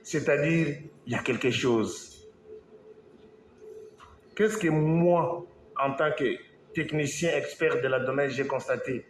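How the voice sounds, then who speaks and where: A man from Congo, an engineer, giving a slow, halting talk to an audience, with pauses and restarts. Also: on the high side. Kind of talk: speech to an audience. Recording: echoey hall, faint background noise.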